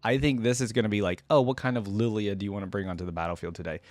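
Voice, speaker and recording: A man's voice: lowish voice, fairly even intonation, rapid-fire, a little mellow, no room sound. A man giving casual, enthusiastic reaction commentary as a video game fan on his channel.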